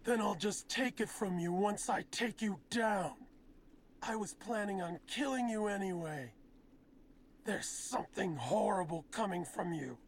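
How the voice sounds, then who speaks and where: A woman's voice: medium pitch, a little slow, a little hiss or hum, close to an everyday voice. A woman playing a hateful masked young male ninja villain, cold and threatening, in an English anime dub. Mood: cold menace. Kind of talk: English-dubbed anime acting. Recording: loud film score over voice.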